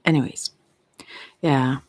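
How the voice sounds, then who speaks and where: A middle-aged woman with a Swedish accent, speaking softly and intimately in an unscripted, reflective ASMR monologue that rambles and trails off. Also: a bass voice, fairly even intonation, slow pace, breathy edge, dry recording. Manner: rambling, trailing off mid-thought. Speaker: ASMR creator and tarot reader.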